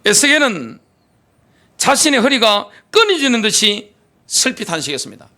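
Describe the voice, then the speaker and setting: A man preaching in a church with a high, bright voice that rises and falls a lot. He keeps asking the congregation rhetorical questions and drives each point home with emphasis. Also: big pitch swings, normal speed. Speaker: pastor. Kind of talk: sermon. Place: church sanctuary.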